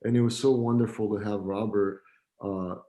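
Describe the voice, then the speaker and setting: Friendly man, lowish voice. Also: normal speed. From Romania. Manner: relaxed, friendly, joking. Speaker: guest, gallery founder. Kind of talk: casual video-call interview. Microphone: video call.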